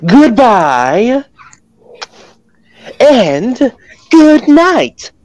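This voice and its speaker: Slow, mock-grandiose man signing off. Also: very high-pitched, sing-song, a little mellow, muffled recording. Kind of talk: mock-formal sign-off.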